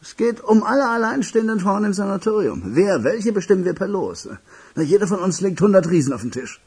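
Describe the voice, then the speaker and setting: Husky, swooping young charmer. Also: male voice, high-pitched, big pitch swings, fairly quick, a little mellow, exaggerated voice. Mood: boastful, excited. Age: young adult. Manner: smooth-talking, teasing, theatrical. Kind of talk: radio crime drama acting.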